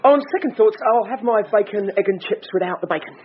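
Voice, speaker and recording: An actor playing a sardonic, fussy police officer in a radio drama. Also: male voice, sits high, fast, husky. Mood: nervous, fussy. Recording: dull radio recording with hiss.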